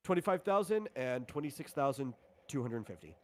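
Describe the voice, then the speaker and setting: A man with a mid-pitched voice runs a tabletop roleplaying game as game master. He talks through rules and equipment in an unscripted, explanatory way. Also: expressive, fairly quick.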